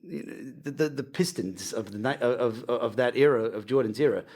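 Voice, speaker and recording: A man's voice: medium pitch, normal speed, darkish tone. Enthusiastic man in his forties hosting. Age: 40s. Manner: enthusiastic, eager fan talk with frequent restarts. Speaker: podcast host. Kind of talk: casual interview chat.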